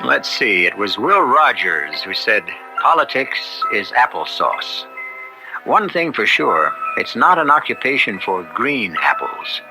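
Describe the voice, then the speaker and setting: A man's voice: lowish voice, sing-song, a little slow, rough recording. A man hosting an old radio drama, delivering wry, punning scripted narration in a theatrical manner. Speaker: host. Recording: old-time radio broadcast. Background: music.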